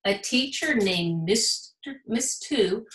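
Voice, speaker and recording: An American woman, a school principal, reading a children's story aloud in an animated, emphatic way. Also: mid-range voice, slow pace. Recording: roomy, echoing sound.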